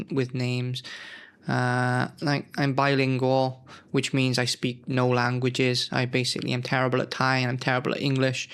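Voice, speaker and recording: Male host, gravelly, average pitch, feeling unwell, rambling and self-correcting, relaxed nostalgic chat. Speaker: gaming channel host. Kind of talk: casual nostalgic commentary.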